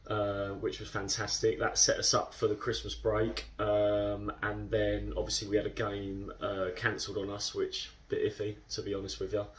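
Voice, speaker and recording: Low-voiced captain, chatty and measured. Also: male voice, completely flat delivery, a bit bright, recorded from a distance. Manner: chatty, measured, lots of fillers. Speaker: football club captain. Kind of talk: post-match interview. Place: indoor club room.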